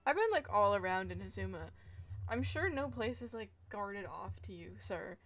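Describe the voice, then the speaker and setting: A woman's voice: mid-range voice, very animated, normal speed, a bit bright. A woman streaming a video game, talking casually in a chatty, rambling way and laughing often. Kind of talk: casual live game commentary. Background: game music and keyboard typing.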